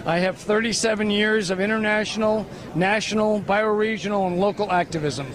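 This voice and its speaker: A man's voice: sits high, steady tone, a little fast. A man, an activist, talks in a street interview, hesitating with many ums. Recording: crowd noise around.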